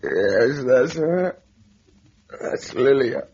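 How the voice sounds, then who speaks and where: An actor playing a meek, nervous, guilt-ridden lodger in an old radio drama, with a husky, halting, stammering delivery. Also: male voice, medium pitch, big pitch swings, darkish tone, heavily acted. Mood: shaken. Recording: muffled lo-fi vintage radio recording.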